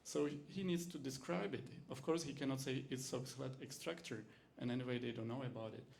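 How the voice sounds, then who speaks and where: Czech-accented man, gravelly voice. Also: mid-range voice, fairly even intonation, a little mellow, a little hiss or hum. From Czech Republic. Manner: halting, many fillers and restarts in second-language English. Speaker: university lecturer. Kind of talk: teaching demonstration talk.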